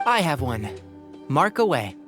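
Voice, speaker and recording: A man's voice: a little high, very animated, unhurried, over music. A man voicing a polite, cautious young adventurer in a video game, with a courteous, earnest way of talking. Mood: decisive. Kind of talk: video game dialogue acting. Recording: faint ambient noise.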